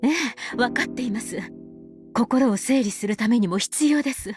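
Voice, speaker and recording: An actress playing a dutiful, dignified middle-aged wife in a visual novel, speaking formally and politely with composed resolve. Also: female voice, a little high, fast talker, close to an everyday voice. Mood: anxious, pleading. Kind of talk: Japanese visual novel voice acting. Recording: music behind the voice.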